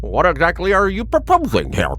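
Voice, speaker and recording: A man's voice: high-pitched, wildly swooping pitch, a little fast, fairly bright tone, slight background noise, voice pushed up, full character voice. A man playing an uneasy corporate colleague in an audio drama, questioning and concerned.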